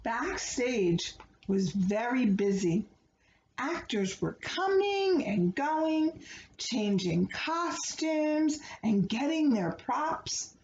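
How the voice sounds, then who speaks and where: A middle-aged woman reads a children's storybook aloud in an expressive, deliberate way. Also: fairly high pitch. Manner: expressive, deliberate phrasing for young listeners. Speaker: children's book reader. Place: small living room, indoors. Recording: roomy, dull home recording with background noise.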